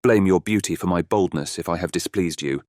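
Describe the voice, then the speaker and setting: A man's voice: deep voice, brisk, a little mellow, no echo, studio quality, close to an everyday voice. A man acting a bold, confident young fairy-tale hero in a storybook audiobook, with a courtly, commanding delivery. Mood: courtly, earnest.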